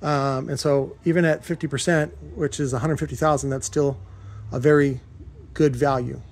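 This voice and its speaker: A man's voice: medium pitch, steady tone, normal speed. An American man giving a casual, off-the-cuff workshop walkthrough, rambling and informal as he explains his equipment. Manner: rambling, informal, occasionally profane. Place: cluttered industrial workshop indoors. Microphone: handheld camera held by the speaker.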